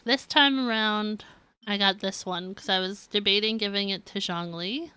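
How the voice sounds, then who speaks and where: Bright-voiced woman streamer, chatty and rambling. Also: mid-range voice, clean tone, no room sound. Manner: rambling, giggly. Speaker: game streamer. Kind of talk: casual live-stream chat with viewers.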